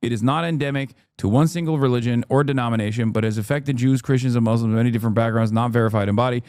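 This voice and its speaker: Man in his 30s for a stream host, sarcastic, fast patter, dry close mic. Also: medium pitch, a little mellow, smooth, dry recording. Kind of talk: casual reaction-stream commentary.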